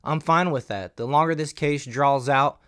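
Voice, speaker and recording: A man's voice: fairly high pitch, moderate pace, a bit bright, clean tone, no echo, studio quality. A man hosting a commentary channel, moving between reading news and giving his own opinion in a conversational, emphatic way.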